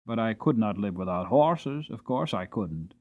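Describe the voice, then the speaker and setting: A man's voice: fairly low pitch, expressive, moderate pace, lo-fi sound, pitched below the performer's own voice, lightly acted. A man voicing a small, cheerful old hostler and former jockey, proud and talkative, with a folksy, chatty manner in an audiobook. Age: older. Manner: chatty, folksy, interjects "bless you" and "you see". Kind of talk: dramatic audiobook character voice.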